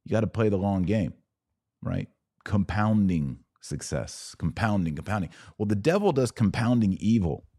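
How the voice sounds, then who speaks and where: Low-voiced man, emphatic podcast host. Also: lively intonation, normal speed, mellow, breathy edge, no room sound, studio quality. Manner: didactic, emphatic, rhetorical check-ins like "right?". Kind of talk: opinion commentary, monologue to live viewers.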